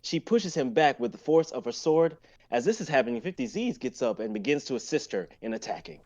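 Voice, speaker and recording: A man's voice: medium pitch, animated, a little fast, a little mellow, dull audio. A young man narrating stage directions in a live amateur script reading. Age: young adult.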